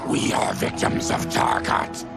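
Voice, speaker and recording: A man's voice: measured pace, loud music behind the voice, lightly acted. An actor playing a diseased, monstrous colony leader in a video game, bitter and proud, growling his words in a grave, formal manner. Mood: grim, resigned. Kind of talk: dramatic video game acting. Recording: loud cinematic score behind.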